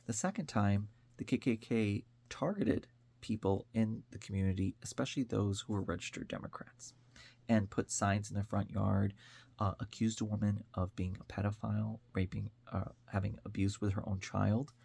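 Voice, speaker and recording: Low, gravelly American man talking earnestly. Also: fairly even intonation, normal speed, a little mellow. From upstate New York, United States. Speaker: online commentator. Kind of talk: informal opinion monologue.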